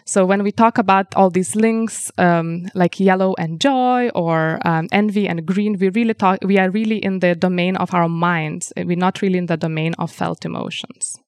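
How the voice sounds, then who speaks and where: A woman whose first language is Lithuanian, answering interview questions as a researcher in a measured, explanatory way with frequent ums. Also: fairly low pitch, fairly even intonation, a little mellow, clean tone, no echo, clean studio sound. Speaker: researcher guest.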